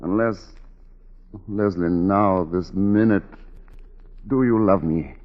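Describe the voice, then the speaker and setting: Grave wounded husband on old radio. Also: male voice, low-pitched, slow, lo-fi sound. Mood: earnest, pained. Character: wounded, forgiving, earnest husband of an unfaithful wife. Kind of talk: 1940s radio drama acting. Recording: band-limited 1940s radio recording with music.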